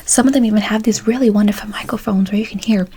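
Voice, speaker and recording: A soft-spoken woman doing an ASMR roleplay as a folksy, chatty late-night barber, often slipping into a whisper. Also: mid-range voice, fairly even intonation, fast talker, a little hiss or hum. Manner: folksy, chatty, calls listener pet names. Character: chatty, tired late-night female barber, sweet and sassy.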